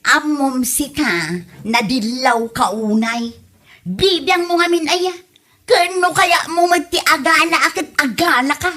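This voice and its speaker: A woman's voice: sits high, lively intonation, unhurried, bright, forceful tone, exaggerated voice. A woman playing a hard-up, complaining villager in an Ilocano comedy drama, whiny and exasperated, with an Ilocano accent.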